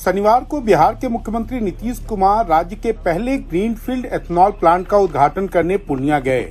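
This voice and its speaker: A woman's voice: medium pitch, lively intonation, brisk, darkish tone, breathy edge. A woman reading a scripted television news report.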